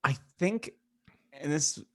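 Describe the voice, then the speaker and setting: A man's voice: fairly high pitch, animated, takes it slowly, a bit bright, no echo. A man co-hosting a podcast in casual chat, thinking aloud a little hesitantly. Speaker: podcast co-host.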